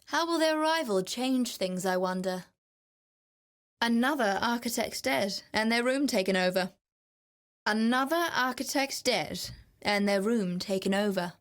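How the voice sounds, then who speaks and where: A woman playing a keen, wry explorer and ritualist in a video game, speaking in a measured, confiding, dryly playful way. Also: a little high, lightly acted. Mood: pleased, wry. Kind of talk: scripted video game voice acting.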